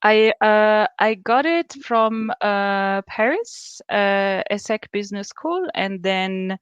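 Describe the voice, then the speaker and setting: Woman in her thirties from Austria, thoughtful guest on a video call, smooth and resonant voice, dry close sound. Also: mid-range voice, expressive, slow pace, dry recording, highs cut off. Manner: thoughtful, many fillers and restarts. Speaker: student guest. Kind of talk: casual interview answers.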